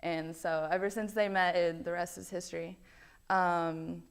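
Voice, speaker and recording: A woman's voice: on the low side, fairly even intonation, unhurried, smooth. A young woman, a college student, introducing herself casually with lots of ums and likes. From Belgium. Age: young adult. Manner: chatty, many ums and likes.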